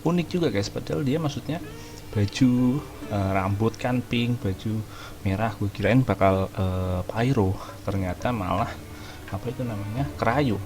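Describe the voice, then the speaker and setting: A man's voice: lowish voice, normal speed. A man giving casual, slangy, rambling commentary as a gaming streamer, with game music behind him. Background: video game music.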